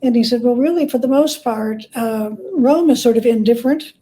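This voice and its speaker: Mellow, higher-pitched woman explaining in conversation. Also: normal speed. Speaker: interview guest, author and scholar.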